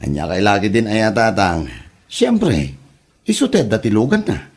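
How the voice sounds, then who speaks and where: A man's voice: on the low side, big pitch swings, measured pace, slightly rough, heavily acted. An actor playing a jobless, sweet-talking young womanizer son who is evasive and short of money, in an Ilocano comedy drama. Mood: pleading, defensive.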